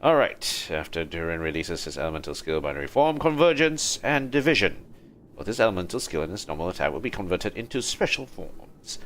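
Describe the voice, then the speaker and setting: A man livestreaming a game in a low-key, musing way, commenting casually and reading text aloud. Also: very animated, fairly quick, fairly bright tone, slight background noise.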